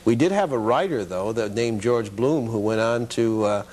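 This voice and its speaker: A mature man being interviewed, reminiscing casually in a halting way and often repeating himself. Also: mid-range voice, a little mellow, a little hiss or hum. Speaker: interview guest, independent TV producer.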